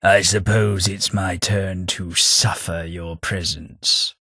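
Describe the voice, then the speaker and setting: An actor playing a haughty, dry-witted old aristocratic ghoul in a video game, with a British accent, a raspy voice and a pompous, formal manner. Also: male voice, deep voice, level tone, slow pace, pushed and bright, no room sound, exaggerated voice. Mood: disdainful. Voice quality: raspy, gravelly. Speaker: video game companion character. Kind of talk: scripted video game voice acting.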